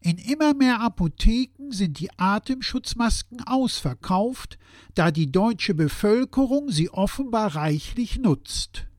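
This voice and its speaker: Performer as skeptical fact-citing partner, sober, measured pace, animated intonation, scripted satirical audio play. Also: medium pitch, dry recording, studio quality, lightly acted. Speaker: audio play dialogue speaker. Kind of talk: scripted satirical dialogue on current affairs.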